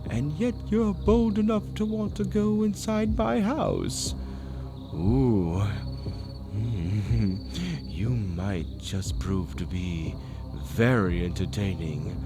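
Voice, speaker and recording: A man voicing a seductive, menacing demon tempter in a dark romance audio roleplay, with a playful, theatrical, drawn-out delivery. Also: on the low side, expressive. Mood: amused, sly. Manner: teasing, drawn-out, theatrical delivery with frequent chuckles. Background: dark ambient music.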